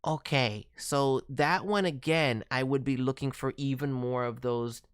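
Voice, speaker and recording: A man's voice: mid-range voice, measured pace, clear, resonant tone. A man giving casual, thoughtful critique as a voice director, hedging as he talks. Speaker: voice director reviewing demo reels.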